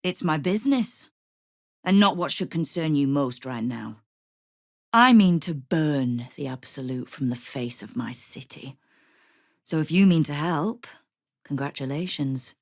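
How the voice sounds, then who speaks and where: Low-voiced, sardonic female crime boss. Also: lively intonation, a little slow, dry recording, clean studio sound, full character voice. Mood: wry, businesslike. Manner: wry, commanding, sardonic. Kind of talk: video game character dialogue.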